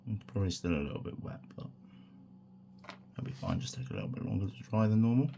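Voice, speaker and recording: A man with a croaky voice from a sore throat, talking through a hobby tutorial in a relaxed, rambling way with many fillers. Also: lowish voice, fast, background noise. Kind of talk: casual hands-on tutorial.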